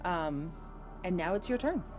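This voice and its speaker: Woman gm w/ kinda low voice, talking thru dice rules casually, faint music behind. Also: expressive, measured pace, a little mellow. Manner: thinks aloud while checking rules and rolls. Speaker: game master. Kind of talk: running a tabletop roleplaying game, casual rules talk. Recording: voice over background music.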